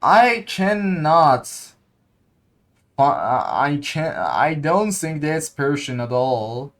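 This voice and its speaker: A man's voice: a little high, very animated, extremely slow, a bit bright. A man commenting casually on gameplay, hesitant and repeating words as he thinks aloud. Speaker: gaming streamer.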